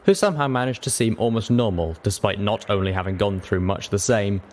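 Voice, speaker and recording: A man's voice: on the low side, a little fast, a little mellow, slight background noise. A man reading scripted fiction aloud as an audiobook narrator.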